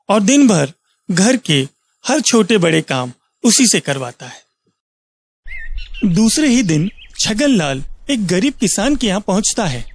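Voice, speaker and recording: A man narrating a scripted moral story as a storyteller. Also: high-pitched.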